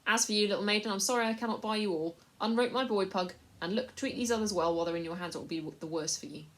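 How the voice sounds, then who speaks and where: A woman's voice: mid-range voice, fast talker, lightly acted. A woman voicing a stern, commanding nobleman who speaks grimly.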